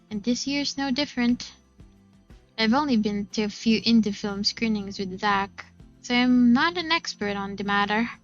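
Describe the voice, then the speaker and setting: A woman's voice: mid-range voice, dull audio. A woman reading first-person visual novel narration in a dramatic storytelling style. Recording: music behind the voice.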